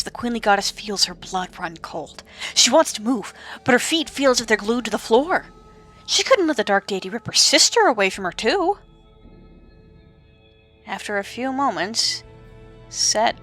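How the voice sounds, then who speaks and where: A woman narrator telling an audio-drama story in an expressive, suspenseful storytelling manner. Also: mid-range voice. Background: music.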